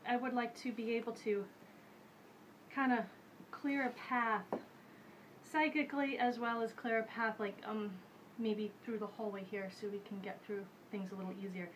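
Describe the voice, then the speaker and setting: A woman's voice: on the high side, slight background noise, poor audio. Chatty woman demonstrating in her kitchen. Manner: chatty, relaxed, thinks aloud with many fillers. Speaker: host of a home witchcraft show. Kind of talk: casual how-to demonstration. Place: home kitchen, indoors. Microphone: camera mic at medium distance.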